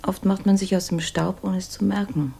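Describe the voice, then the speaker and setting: A woman's voice: mid-range voice, fairly even intonation, moderate pace, gravelly, dark tone, husky. An actress playing an earnest, remorseful, introspective woman in love, hesitant and intimate, in 1960s radio drama style. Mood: reflective. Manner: hesitant, soul-searching, intimate.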